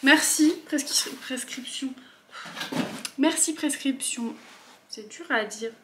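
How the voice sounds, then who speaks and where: Woman vlogger, bright-ish voice, casual rambling unboxing, quiet mumbled asides, light background hiss. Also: high voice, takes it slowly. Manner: chatty, with asides and trailing murmurs. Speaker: beauty vlogger.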